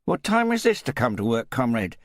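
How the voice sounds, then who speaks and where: A man's voice: mid-range voice, very animated, brisk, no echo, studio quality, voice pushed up, lightly acted. A man voicing a pompous, nagging party-zealot investigator who scolds a colleague in an audiobook. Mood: officious scolding. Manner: officious, nagging.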